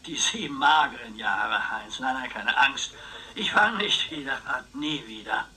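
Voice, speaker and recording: An actor playing a wily, talkative old uncle in a German radio crime drama, excitable, teasing and boastful. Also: male voice, mid-range voice, measured pace, poor audio. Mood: wry, animated. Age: older. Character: wily, boastful, chatty old uncle, retired wartime planner.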